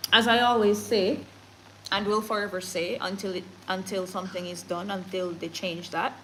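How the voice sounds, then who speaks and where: A woman's voice: mid-range voice, pushed and bright, slight background noise, rough recording. A woman from Jamaica with a Jamaican accent, hosting a casual livestream, chatting with viewers and speaking emphatically, often laughing and slipping into patois. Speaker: livestream news host. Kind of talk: casual livestream chat reading comments and news.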